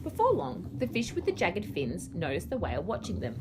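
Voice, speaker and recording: Animated Australian woman reading a storybook. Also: mid-range voice, a little fast. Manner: expressive, storytelling. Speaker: primary school teacher reading aloud. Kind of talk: children's storybook narration. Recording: clear background noise.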